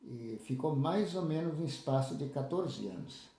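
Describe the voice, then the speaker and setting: A man in his seventies, from southern Brazil, recalling his life in a halting way with many restarts. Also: on the high side, expressive, creaky, warm tone, roomy sound. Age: 70s. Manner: halting, restarts with repeated words. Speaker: interviewee, lay church leader. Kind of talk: casual spoken recollection.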